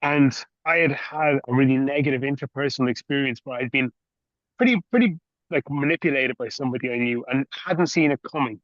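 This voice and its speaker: An Irish man speaks at a middling pitch as a podcast interviewer, chatting conversationally. The recording is very dry and slightly dull, with a lo-fi edge. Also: a little fast, no echo. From Ireland.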